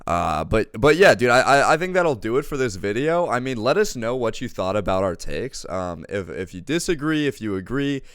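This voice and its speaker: A man's voice: mid-range voice, big pitch swings, moderate pace, few pauses, a bit bright, clean tone, no echo, clean studio sound. A man co-hosting a casual, enthusiastic review, speaking effusively with slangy wording and emphatic repetition. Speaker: co-host giving a movie review.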